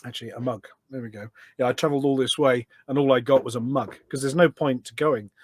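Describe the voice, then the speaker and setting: A middle-aged man chatting casually as a podcast guest, telling stories in an animated way. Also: mid-range voice, fast, husky, dry recording. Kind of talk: casual anecdotal chat.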